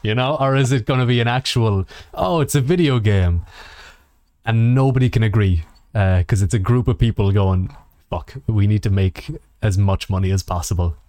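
A man's voice: lowish voice, normal speed, darkish tone, no echo. A man co-hosting a podcast in casual film discussion, swearing freely and using mock quotes to make his points. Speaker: podcast co-host.

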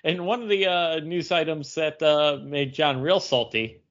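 A man chatting casually as a podcast co-host in a hesitant, halting way. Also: a little high, fairly bright tone, highs cut off. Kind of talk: casual chat.

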